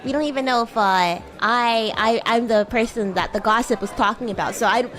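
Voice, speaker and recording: Woman voicing a high-pitched bratty young demon girl. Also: normal speed, fairly bright tone, faint music behind, some chatter behind, natural voice. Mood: hesitant, evasive. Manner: teasing, stammering, defensive. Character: bratty, flustered young female demon classmate, playfully haughty. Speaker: VTuber streamer. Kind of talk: improvised livestream roleplay.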